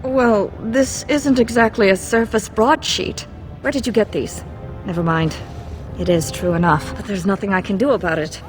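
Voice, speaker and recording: A woman's voice: mid-range voice, normal speed, lightly acted. An actress playing a proud, cool dwarven noblewoman in a fantasy video game, formal and composed. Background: faint game music.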